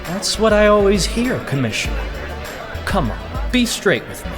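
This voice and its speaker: A man's voice: sits high, big pitch swings, normal speed, over music, crowd noise, pitched above the performer's own voice. A man voicing a brash, pushy male newspaper reporter in an audio drama.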